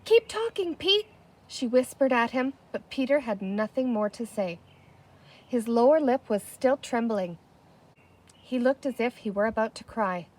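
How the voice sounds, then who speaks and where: A woman with a fairly high voice reads a children's story aloud. She narrates expressively, as a storyteller does, out in the open air. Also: measured pace, a little mellow. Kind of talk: expressive read-aloud narration with character dialogue. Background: birdsong, wind chimes, a barking dog.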